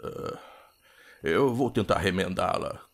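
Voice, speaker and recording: A man's voice: deep voice, takes it slowly, gravelly, heavily acted. A man with a Brazilian accent playing a deferential, apologetic coachman who speaks formally in a period audio drama. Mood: reassuring. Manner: servile, formal, hesitant.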